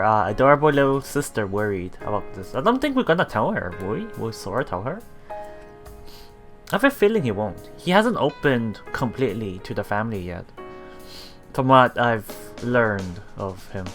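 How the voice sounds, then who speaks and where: A man doing casual let's play commentary, haltingly reading and paraphrasing story text aloud while thinking out loud. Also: medium pitch. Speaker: let's player.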